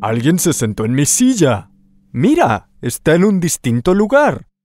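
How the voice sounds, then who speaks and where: A man's voice: a little high, wildly swooping pitch, a little slow. A man voicing an angry, indignant father bear in a children's cartoon, exclaiming his lines.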